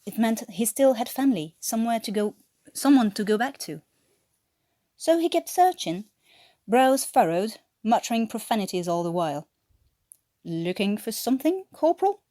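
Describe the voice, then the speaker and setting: A woman's voice: on the high side, lively intonation, moderate pace, mellow, dry recording. A woman from France, a native French speaker with a French-tinged English accent, reading a scripted story as an amateur narrator. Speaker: amateur podfic reader.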